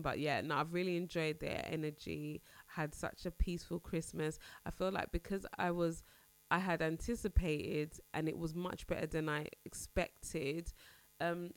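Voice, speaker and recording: Low-voiced stuffy-nosed woman. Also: no room sound. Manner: chatty, candid, rambling with restarts. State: congested, nasal from a cold. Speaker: podcast host. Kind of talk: casual personal chat.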